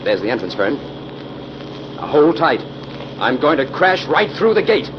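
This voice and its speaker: An actor playing an earnest, determined crime-fighting investigator in the manner of 1940s radio drama. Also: male voice, fairly high pitch, very animated, a little slow. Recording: noisy, dull old radio recording.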